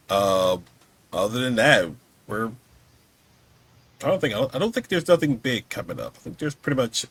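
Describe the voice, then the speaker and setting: A man's voice: fairly low pitch, a bit bright. A man hosting a podcast in a casual, laid-back, informal way. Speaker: podcast host. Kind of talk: casual chat.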